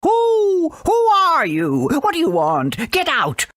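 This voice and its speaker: A man's voice: extremely high pitch, huge pitch swings, slow, fairly bright tone, no echo, studio quality, exaggerated voice. A man voicing an anxious, wary talking owl for a video game, dramatic and exclaiming. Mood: alarmed, hostile. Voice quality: hooting cries. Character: anxious old talking owl, wary guardian of a sick girl. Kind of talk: video game character acting.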